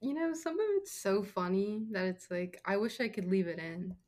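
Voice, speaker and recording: Female podcast team member, medium pitch, informal conversational delivery. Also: big pitch swings, normal speed, darkish tone. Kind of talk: casual chat.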